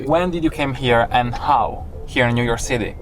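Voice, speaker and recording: A man's voice: medium pitch, moderate pace, crowd noise. A man from Italy, Italian his first language, hosting and asking short, curious questions. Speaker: host and interviewer. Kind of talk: documentary interview and piece to camera.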